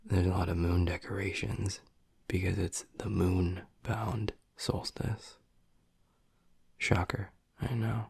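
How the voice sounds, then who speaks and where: A man voicing a gruff but tender young werewolf boyfriend in an intimate audio roleplay, husky and breathy, speaking low and haltingly. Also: flat delivery, darkish tone, no echo, full character voice. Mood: wry, relaxed. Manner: low, halting, half-whispered delivery.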